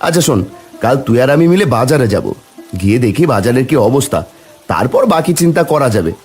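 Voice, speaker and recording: A man's voice: medium pitch, big pitch swings, fast talker, darkish tone, faint music behind, close to an everyday voice. A man voicing a worried, caring village husband in a cartoon, with a Bengali accent and colloquial, exclaiming speech. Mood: resolute. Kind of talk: cartoon dialogue acting.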